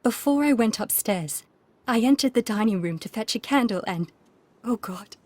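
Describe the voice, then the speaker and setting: A woman's voice: a little high, lively intonation, moderate pace, darkish tone, no echo. A woman voicing an unhappy aristocratic Victorian widow with a formal, refined, plaintive manner in a video game. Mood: shaken, recounting. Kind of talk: video game cutscene acting.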